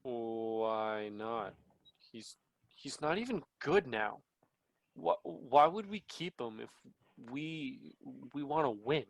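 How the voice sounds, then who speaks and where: A man's voice: medium pitch, expressive, takes it slowly, no room sound. Young man acting casual gamer, dry sound. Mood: puzzled. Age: young adult. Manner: casual, slangy. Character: Max, laid-back, friendly young gamer. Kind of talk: student one-act play acting.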